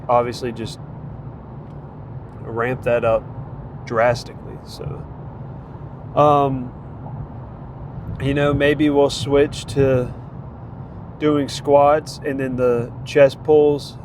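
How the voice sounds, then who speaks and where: A man with a congested voice giving a rambling, casual vlog update on his training, full of fillers and restarts. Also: mid-range voice. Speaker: vlogger tracking a training program. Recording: noisy outdoor recording.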